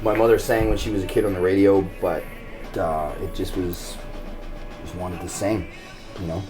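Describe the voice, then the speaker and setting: A man from Canada, a singer being interviewed, telling stories in a loud, relaxed and frank way. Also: low voice, moderate pace, over music. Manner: loud, relaxed storyteller with a frank sense of humor. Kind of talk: casual interview.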